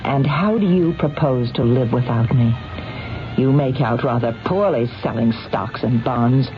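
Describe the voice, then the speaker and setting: An actress playing a ruthless, possessive wealthy mistress in a 1970s radio drama, with imperious, precise diction. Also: female voice, extremely low pitch, unhurried. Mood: mocking, possessive. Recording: dull old radio recording, music behind.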